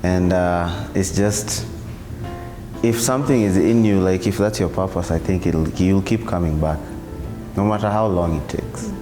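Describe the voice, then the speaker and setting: A man's voice: deep voice, unhurried, echoey room. A Kenyan man in his thirties, a musician, talking reflectively in an interview. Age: 30s. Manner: relaxed, reflective, sighing. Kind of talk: interview testimonial. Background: background music.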